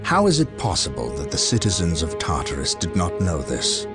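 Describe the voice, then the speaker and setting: Game captain: a proud, suspicious commander, deep, emphatic and accusing. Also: male voice, wildly swooping pitch, moderate pace, loud music behind the voice. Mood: grave, questioning. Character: stern, defiant military captain, proud and suspicious. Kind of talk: dramatic video game cutscene acting.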